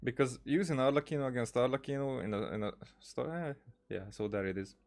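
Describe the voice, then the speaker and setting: A man streaming a game with casual commentary, thinking aloud in a halting way and repeating words. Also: medium pitch, gravelly, darkish tone. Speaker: gaming streamer.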